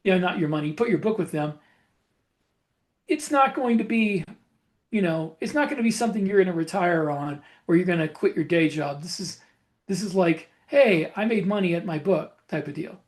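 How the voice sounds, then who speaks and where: A man's voice: high voice, lively intonation, moderate pace. A man co-hosting a podcast, explaining things casually in a blunt, opinionated way. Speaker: podcast co-host.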